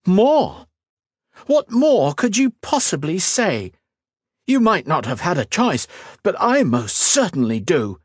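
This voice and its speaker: A man's voice: high-pitched, very animated, takes it slowly, no room sound, clean studio sound, pitched above the performer's own voice, heavily acted. A man voicing a furious, defiant princess in an audiobook, snapping with indignant emphasis. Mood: furious, scornful.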